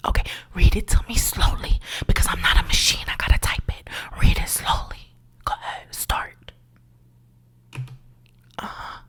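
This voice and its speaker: A woman's voice: normal speed, pauses often, close to an everyday voice. A woman playing a condescending female insurance claims agent in an ASMR roleplay, whispering in a patronizing way and calling the listener sweetie and honey. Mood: sassy.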